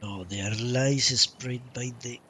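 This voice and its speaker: A man's voice: medium pitch, slow, bright, forceful tone, slight background noise. A man giving live gameplay commentary as a streamer, sometimes reading dialogue choices aloud. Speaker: game streamer. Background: game music.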